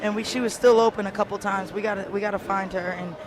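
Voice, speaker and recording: A woman's voice: mid-range voice, fairly even intonation, fast, noisy background. A woman coaching college basketball, giving a matter-of-fact, analytical postgame radio interview off the cuff.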